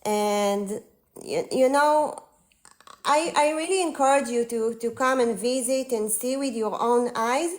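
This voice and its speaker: Israeli woman in her forties, slightly high voice, earnest guest on a phone call, pauses a lot. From northern Israel. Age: 40s. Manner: earnest, halting, many fillers. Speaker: guest on a livestream. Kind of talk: casual conversation.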